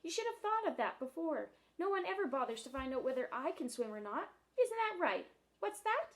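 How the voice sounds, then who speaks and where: A woman voicing a small male seal in a storybook reading, bitter and angry, with a loud, bossy, taunting delivery. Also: sits high, sing-song, slow, voice pushed up, full character voice.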